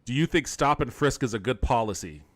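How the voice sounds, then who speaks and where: A man's voice: mid-range voice, animated, fairly quick, noisy background. A man doing casual gameplay commentary as a streamer, talking in an amused, incredulous, sweary way. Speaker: video game streamer and podcast host.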